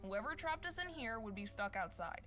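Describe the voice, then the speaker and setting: An actress playing a skeptical young woman who speaks in a dry, sharp, exasperated way. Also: a little high, expressive, fairly quick, soft background music. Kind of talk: game voice acting.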